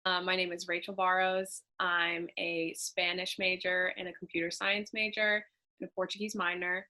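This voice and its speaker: A young woman from England speaks in a lowish voice with a bright, crisp edge. She is brisk and concise as she covers her studies. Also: little pitch movement. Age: young adult. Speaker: university student.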